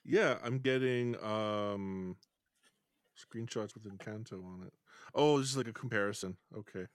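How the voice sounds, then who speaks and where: A man guesting on a podcast, playful and teasing with loud excited bursts in casual chat. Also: on the low side, a little slow, no echo, clean studio sound.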